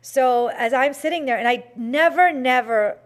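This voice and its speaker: A woman hosts a talk show and tells a story in a casual, chatty way. She uses a lot of fillers and restarts. Her voice sits fairly high. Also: moderate pace.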